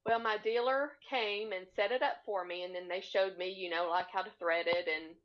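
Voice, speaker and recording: Slightly dull, lo-fi call audio carries a woman chatting as an interview guest. She is plain-spoken and matter-of-fact, with a voice of average pitch. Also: steady tone, normal speed. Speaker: podcast guest, hobby quilter. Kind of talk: casual interview chat. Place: remote split-screen video call.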